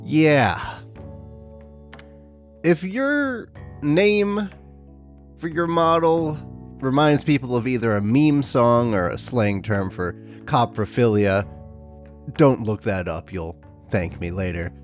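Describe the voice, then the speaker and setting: A man in a casual commentary video, voice slightly rough from getting over an illness. He rants with sarcastic exasperation and dry asides, pitched in the middle range. Soft guitar music plays faintly under him. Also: takes it slowly, pauses often. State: voice a little rough from recent illness. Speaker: tabletop wargaming YouTuber.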